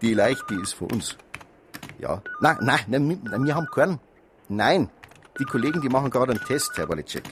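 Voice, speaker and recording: Animated Bavarian small-town policeman, played by a man. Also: medium pitch, fairly quick, over music, close to an everyday voice. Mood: flustered. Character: folksy male small-town police officer on desk duty. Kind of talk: naturalistic radio drama acting.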